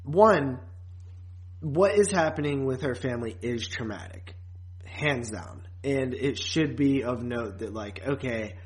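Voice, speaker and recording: A man's voice: medium pitch, unhurried, low-quality recording. A man talks as a podcast co-host in an unscripted, conversational style. He is blunt and loose with swearing, and he tends to ramble as he works through his points. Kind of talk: casual podcast chat recapping and reviewing.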